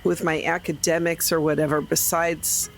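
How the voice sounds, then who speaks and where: A man's voice: a little high, little pitch movement, moderate pace, faint music behind. A man playing a tabletop game, talking casually about his character.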